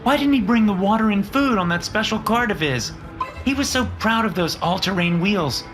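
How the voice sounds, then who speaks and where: A man voicing the young characters of a horror story in a raised, lively voice. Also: normal speed. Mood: tense. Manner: raised pitch for dialogue. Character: young adult characters, men and a woman. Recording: music underneath.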